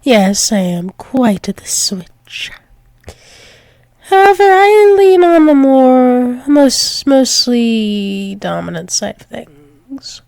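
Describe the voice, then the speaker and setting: A woman's voice: a little high, animated, drawn-out words, vocal fry, a little mellow, dry recording, exaggerated voice. A woman voicing a doting, dominant dragon-wolf demoness lover in an intimate ASMR roleplay, teasing with pet names and dropping into whispers. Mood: teasing, tender.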